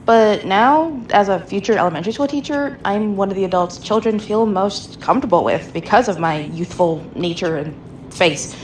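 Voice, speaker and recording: A woman's voice: mid-range voice, moderate pace, slight background noise, low-quality recording. A young adult woman, a show listener, giving a prepared personal answer.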